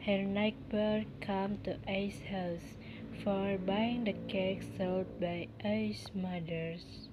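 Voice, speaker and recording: A woman's voice: mid-range voice, steady tone, very slow, very noisy. A woman reading scripted narration for a story.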